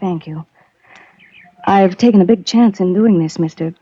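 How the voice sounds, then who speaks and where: A woman's voice: on the low side, moderate pace, warm tone, a little hiss or hum, natural voice. An actress playing an earnest, anxious young woman in a 1940s radio drama, speaking with clipped urgency. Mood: anxious, pleading. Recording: dull vintage radio recording.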